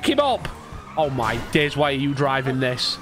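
A man's voice: mid-range voice, big pitch swings, normal speed. A man bantering through an online game roleplay, cheeky, teasing and sweary. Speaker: gaming streamer, roleplayer. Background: game vehicle sounds and music.

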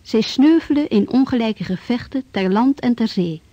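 Mellow, husky woman radio narrator. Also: fairly high pitch, animated, no room sound. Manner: grave, measured. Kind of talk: dramatic scripted narration of a historical radio play. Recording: dull, dry vintage radio recording.

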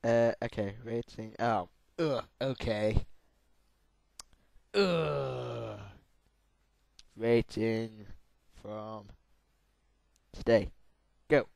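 A hoarse young man with a sore throat, giving excitable let's-play commentary while gaming. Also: mid-range voice, drawn-out words, pauses often, dry recording. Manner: excitable, yelps and laughs at crashes. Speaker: gamer.

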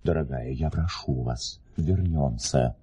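Deep-voiced haughty sceptical count. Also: male voice, slow pace, mellow, husky, full character voice. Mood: protesting. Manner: theatrical, formal, indignant. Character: haughty sceptical aristocratic count, irritated and grumbling. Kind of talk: Soviet-style radio play acting.

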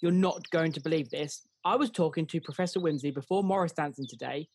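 Woman playing an earnest low-voiced young lad. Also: brisk, a little mellow, slight background noise. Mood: hopeful. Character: earnest young man, the squire's kindly son. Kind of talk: radio pantomime acting.

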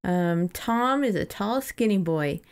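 A woman's voice: mid-range voice, lively intonation, slow pace, clear, resonant tone. A woman hosting a podcast, chatting casually about a book in an informal, joking way. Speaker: podcast host. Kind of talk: casual book discussion chat.

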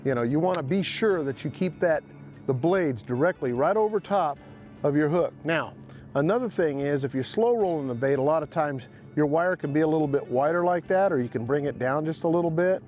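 Folksy fishing host on a boat. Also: male voice, a little high, brisk, soft background music. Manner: folksy, excited when landing fish. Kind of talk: outdoor fishing instruction. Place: on a bass boat on an open lake.

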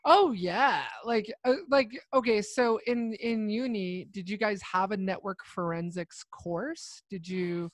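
A woman's voice: medium pitch, slow, hardly any pauses, no echo. An American woman in her thirties hosting a casual interview, bubbly and enthusiastic, on a studio microphone. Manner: bubbly, enthusiastic, laughs a lot. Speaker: host. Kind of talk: casual video-call interview. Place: indoor studio. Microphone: large studio mic.

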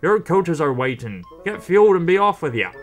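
A man voicing a gruff, no-nonsense shunter engine in a children's story, with a brusque, scolding, colloquial manner. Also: on the high side, sing-song, moderate pace, faint music behind, pitched above the performer's own voice. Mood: bossy.

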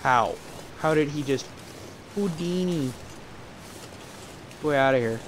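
A man casually commenting while he plays a game, in a calm, fairly monotone voice that drifts into rambling asides. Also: a little high, slow, slight background noise. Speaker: gameplay streamer.

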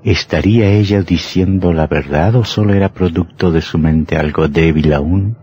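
Actor as a deep, theatrical horror narrator. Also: male voice, moderate pace, warm tone, highs cut off, close to an everyday voice. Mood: sinister, dramatic. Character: dramatic male narrator of sinister horror tales. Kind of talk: scripted radio horror narration. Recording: noisy old radio drama recording.

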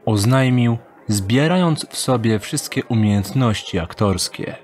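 A man tells a dark fantasy story as its narrator. His delivery is slow and measured, with a medium-pitched voice. Music plays softly beneath the scripted reading. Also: animated.

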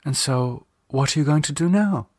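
A man's voice: a little high, animated, normal speed, a little mellow, slightly rough, no room sound, studio quality, voice pushed up. A man voicing a worried, caring woman in an audiobook, questioning earnestly with some reproach. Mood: concerned. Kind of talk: audiobook character dialogue.

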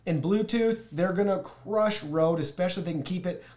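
A man's voice: high-pitched, fairly quick, a little mellow. A man in his thirties giving a casual, enthusiastic product review to camera, addressing viewers directly. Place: small plain indoor studio, seated at a desk.

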